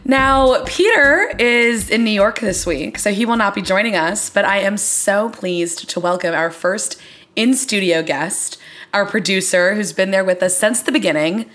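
A woman's voice: medium pitch, unhurried, fairly bright tone, faint music behind. A young American woman hosting a podcast in casual, conversational chat with playfully formal turns of phrase. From Florida, US. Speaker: podcast host. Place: in a studio.

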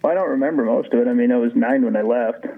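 A man's voice: medium pitch, racing. A man in his forties, from Ukraine, a sled dog musher answering interview questions in a laid-back, dry-joking way over a phone line. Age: 40s.

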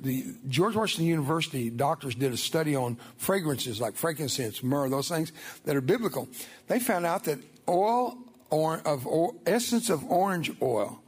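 A man's voice: on the high side, breathy edge. Emphatic older man preaching a testimony. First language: Spanish. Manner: folksy, emphatic storytelling. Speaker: guest speaker. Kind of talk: church testimony sermon.

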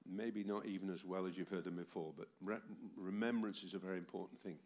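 A very deep-voiced man in his seventies preaches into a microphone in a church hall, his voice worn by a summer cold. He speaks emphatically and keeps turning to the congregation with rhetorical questions. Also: fairly even intonation, quick pace, darkish tone. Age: 70s. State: tired voice from a heavy cold. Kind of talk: sermon. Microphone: amplified microphone.

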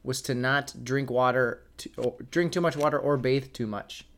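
A man co-hosting a podcast with his wife, chatting casually and joking with quick rambling asides. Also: medium pitch, steady tone, a bit bright. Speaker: podcast co-host. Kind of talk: casual chat.

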